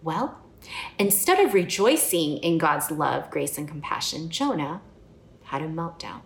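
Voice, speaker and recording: A woman's voice: low voice, lively intonation, a little slow, gravelly, a little hiss or hum. A woman from the United States preaching a sermon in an earnest, personal and reflective way. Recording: echoey room.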